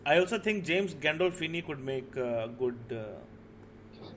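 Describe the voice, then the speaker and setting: Male podcast co-host, higher-pitched, informal and insistent, some background noise. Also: animated, measured pace, a bit bright. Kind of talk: casual group discussion.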